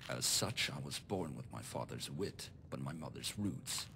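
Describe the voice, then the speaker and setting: A man playing a calculating, self-made nobleman in a video game, speaking in a formal, measured, composed way. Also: a bass voice, expressive, creaky, noisy background, exaggerated voice. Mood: cool, resolute. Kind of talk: scripted video game dialogue.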